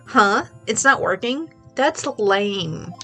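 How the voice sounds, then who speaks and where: A woman's voice: medium pitch, expressive, slow, fairly bright tone, faint music behind. A woman with a stuffy voice voicing a perky, teasing young woman, a kid sister bantering on the phone in a video game read-along. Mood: playful.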